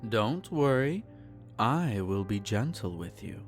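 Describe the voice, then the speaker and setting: A man performs a centuries-style vampire gentleman, possessive and teasing, in an intimate audio roleplay. His formal, silky delivery is slow and rises and falls widely. Gentle guitar music plays underneath. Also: fairly low pitch, very animated. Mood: hungry, coaxing. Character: possessive, teasing, courtly male vampire, centenarian yet youthful. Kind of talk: intimate roleplay audio, scripted character acting.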